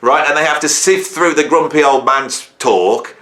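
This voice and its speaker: Bright-voiced man in his fifties. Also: fairly high pitch, moderate pace. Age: 50s. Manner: rambling, self-questioning, adds "right?" to many points. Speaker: YouTube music channel host. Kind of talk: reflective solo talk to camera.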